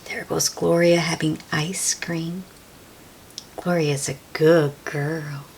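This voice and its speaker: A woman's voice: sits low, flat delivery, takes it slowly, darkish tone, a little hiss or hum. A woman reading a children's picture book aloud, with exclamations and chatty asides to young listeners. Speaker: storybook read-aloud host.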